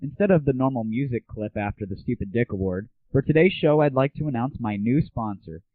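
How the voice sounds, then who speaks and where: A man's voice: mid-range voice, animated, a little fast, no room sound. A man hosting a comedy audio show in a casual, crude, sarcastic rant. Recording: dry, muffled narrow-band audio.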